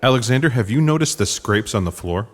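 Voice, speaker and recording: A man's voice: mid-range voice, animated, brisk, close to an everyday voice. A man acting a dry, patient intelligence agent with a wry manner in a comic audio drama. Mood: intent.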